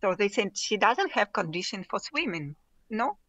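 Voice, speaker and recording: A Ukrainian-born woman with a Russian accent, a podcast guest speaking halting non-native English with frequent restarts and laughs. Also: medium pitch, sing-song, a bit bright, dry recording. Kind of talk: casual interview chat.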